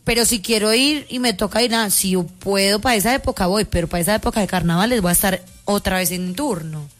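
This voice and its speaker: A woman's voice: medium pitch, fast talker, soft background music. A woman joking around in a casual radio chat.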